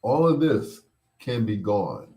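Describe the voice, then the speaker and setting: A middle-aged man hosting a casual show, chatting and reminiscing in a rambling way, often pausing and repeating himself as he replies to listeners. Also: on the low side, sing-song, warm tone. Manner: unhurried, rambling, with pauses and repeats. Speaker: host of a bedtime stories show. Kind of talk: casual chat, reminiscing and replying to listener comments.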